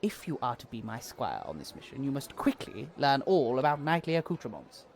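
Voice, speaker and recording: A man's voice: medium pitch, very animated, brisk, a little hiss or hum. A man playing a pompous, gung-ho medieval knight who speaks in mock-archaic style in a comic audio drama. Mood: pompous, haughty.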